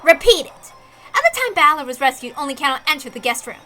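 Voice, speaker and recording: Woman acting a bright, high-voiced, combative girl detective. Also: very animated, fast talker, soft background music, exaggerated voice. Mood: fierce determination. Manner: theatrical, emphatic, defiant. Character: brash, combative young female detective, obsessive and theatrical. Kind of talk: dramatic reading of a visual novel.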